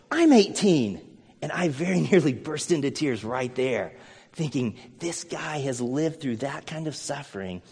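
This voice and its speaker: A man's voice: on the high side, breathy edge. A middle-aged American man preaching a sermon as a pastor, earnest and conversational, mixing scripture with personal stories. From United States. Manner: earnest, conversational, with storytelling asides. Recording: long hall reverb.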